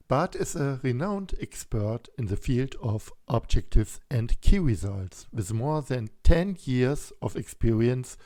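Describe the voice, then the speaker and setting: A man hosting a podcast, reading an introduction in slow, careful English and asking questions. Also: medium pitch, warm tone, no echo. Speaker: podcast host. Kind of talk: scripted intro and interview questions. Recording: dry close mic with faint music.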